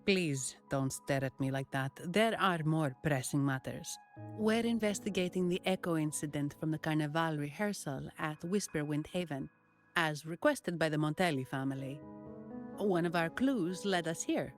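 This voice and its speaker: A composed, wry banker from a video game, acted by a woman. Her voice sits low and she talks in a dry, matter-of-fact manner. Music plays behind her. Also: moderate pace, natural voice. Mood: formal. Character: cool, businesslike, wry female bank employee.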